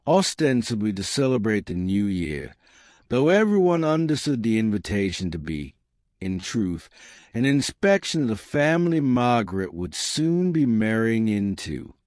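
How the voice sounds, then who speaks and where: A man reads historical fiction with a measured, dramatic touch. His voice sits on the low side and moves very slowly, rising and falling expressively. The sound is dry and free of room echo. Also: a little mellow, smooth. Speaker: audiobook narrator. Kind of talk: scripted dramatic storytelling narration of historical romance.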